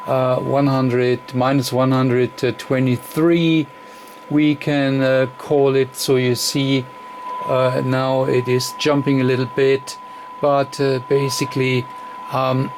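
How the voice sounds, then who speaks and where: A man giving an unscripted technical demonstration as a radio technician, speaking in a halting, fragmented way with very frequent hesitations. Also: medium pitch. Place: indoor electronics test bench. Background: radio receiver noise.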